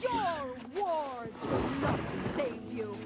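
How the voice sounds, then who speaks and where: An actress playing a haughty, scornful gorgon villain in a video game, with an imperious, theatrical, drawn-out delivery. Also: female voice, high-pitched, big pitch swings, loud music behind the voice, exaggerated voice. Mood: scornful menace. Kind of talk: dramatic video game acting.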